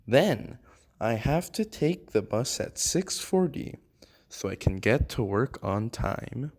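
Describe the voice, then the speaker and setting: Very slow, lilting storybook moose voiced by a reader. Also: medium pitch, sing-song, a little mellow, full character voice. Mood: friendly, gentle. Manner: slow, deliberate, addressing children directly. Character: Alex the Moose, friendly storybook-reading moose of the enchanted woods. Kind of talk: gentle scripted read-aloud for young children.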